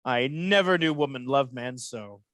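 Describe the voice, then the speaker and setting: A man's voice: mid-range voice, fairly bright tone, dry recording, studio quality, natural voice. A man acting a manipulative villain in a Shakespearean radio play, insinuating and theatrical, posing as a loyal officer. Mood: sly prodding. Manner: insinuating, measured, theatrical verse delivery.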